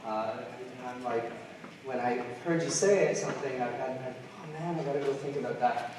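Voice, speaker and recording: A middle-aged man from Trinidad and Tobago giving a conference keynote, halting often with fillers and restarts. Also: mid-range voice, a little mellow, slight background noise. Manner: hesitant, many fillers and restarts. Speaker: keynote speaker. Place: large lecture hall. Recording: distant mic in an echoey hall.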